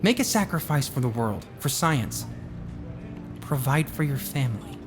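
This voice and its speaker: A man's voice: medium pitch, very animated, normal speed, over music, lightly acted. A man playing a confident, glib billionaire space colony founder in a naturalistic audio drama, talking in a casual, assured, persuasive way. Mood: earnest pitch. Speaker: voice actor in a musical audio drama.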